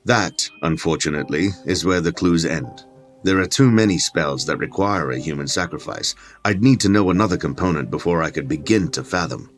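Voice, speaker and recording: A man's voice: low-pitched, a little fast, soft background music, lightly acted. An actor playing a learned, pedantic clergyman scholar in an audio drama, precise and dryly sardonic. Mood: dry, explanatory. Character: learned, condescending male occult scholar, clergyman. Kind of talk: dramatic audio fiction acting.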